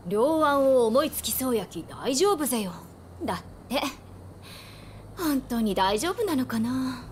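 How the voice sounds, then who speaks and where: A woman's voice: high-pitched, lively intonation, unhurried, slight background noise. A woman playing a spirited, caring young woman of old Japan in a video game, with a familiar, plain-spoken feminine manner. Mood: friendly, concerned. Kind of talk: video game cutscene acting.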